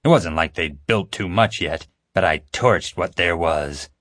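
A male narrator plays a gravelly-voiced crook from an audiobook. He is a cowardly bully, desperate and boastful by turns. The sound is dry and close. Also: unhurried, a bit bright, no echo. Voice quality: deep, gravelly. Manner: wailing, desperate pleading. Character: James, blubbering male criminal, cowardly, pleading, boastful.

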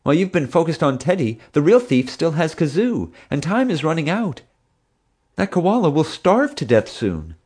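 An earnest young woman zookeeper from a storybook reading, as a male narrator puts her on. Her voice sits well above his own, bright and eager. She speaks with urgent sincerity. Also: fairly high pitch, very animated, normal speed, breathy edge, voice pushed up.

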